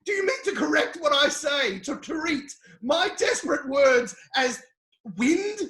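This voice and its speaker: Casting: actor for anguished, impassioned biblical sufferer, slow and halting delivery, dramatic reading in an echoing room. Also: lively intonation. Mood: indignant pleading. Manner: impassioned, halting, swinging from low murmurs to anguished shouts. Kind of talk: epic dramatic Bible reading. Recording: roomy, echoing space.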